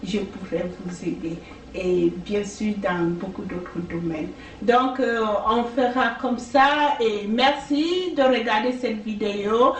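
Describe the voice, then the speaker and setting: A French-speaking woman tutoring for language exams in a casual video, talking slowly with many hesitations and fillers. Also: medium pitch. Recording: echoey room, distant mic, faint noise.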